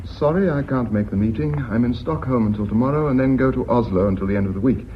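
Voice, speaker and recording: An actor with a British accent playing a dry, unflappable company official in a radio drama, formal and wry. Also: male voice, medium pitch, fast talker, a little hiss or hum, lightly acted. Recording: dull reverberant old radio recording.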